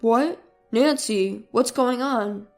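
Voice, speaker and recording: A woman voicing a flustered, evasive and sheepish husband who speaks haltingly in a narrated story. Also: fairly high pitch, soft background music. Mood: flustered, guilty. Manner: halting, stammering.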